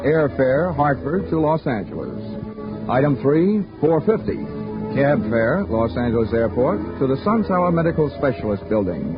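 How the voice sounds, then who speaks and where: A man's voice: medium pitch, close to an everyday voice. An actor playing a wisecracking, flirtatious male insurance investigator in a 1940s radio drama, with a wry, teasing delivery. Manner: wry, teasing, smooth-talking. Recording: muffled 1940s radio broadcast.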